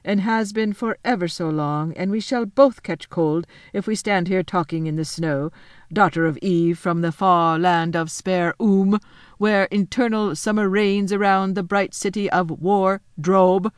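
A woman voicing a courteous faun gentleman. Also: medium pitch, smooth, dry recording, clean studio sound, close to an everyday voice. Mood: hospitable. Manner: courteous, slow and formal. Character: polite, fussy, melancholy male faun.

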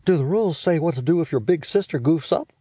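A man doing a bossy big-sister girl, high and squealing. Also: lively intonation, fast, warm tone, breathy edge, dry recording.

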